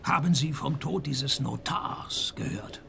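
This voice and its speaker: An actor playing a world-weary, wisecracking private detective in a radio play, dry and deadpan, with a husky, gravelly voice. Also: male voice, on the high side, slow pace, background noise, exaggerated voice. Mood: mocking. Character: sardonic, world-weary male private detective, middle-aged, broke. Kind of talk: first-person radio play narration and dialogue.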